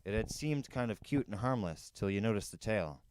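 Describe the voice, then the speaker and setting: Man, low smooth voice, clean tone, audiobook-style narration, storyteller reading fiction. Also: animated, brisk, a little mellow, no echo. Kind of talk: reading a novel aloud as first-person narration.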